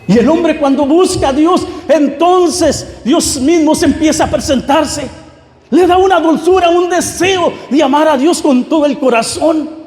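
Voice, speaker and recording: A man preaches with fervor in a very high-pitched voice, his words ringing through a hall with long reverb. He addresses the congregation directly and repeats his phrases to press each point. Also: measured pace, a little mellow. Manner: fervent, repetitive phrasing. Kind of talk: Pentecostal-style sermon. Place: large reverberant room, church service.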